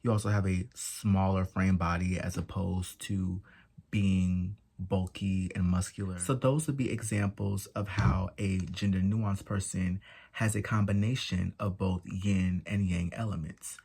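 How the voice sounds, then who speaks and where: A soft-voiced man giving a measured explanatory talk as presenter, listing points with short pauses and fillers. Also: low-pitched, steady tone, gravelly, husky.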